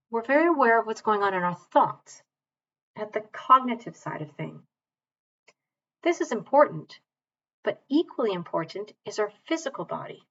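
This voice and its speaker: Muffled recording of a woman guiding meditation. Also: mid-range voice. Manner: calm, measured, gentle instructing with long pauses. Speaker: mindfulness meditation teacher. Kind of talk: guided body scan meditation.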